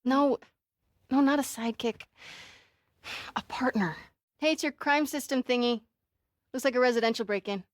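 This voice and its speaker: A woman's voice: fairly high pitch, no echo. Determined young American woman reporter, dry studio sound. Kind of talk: naturalistic video game voice acting.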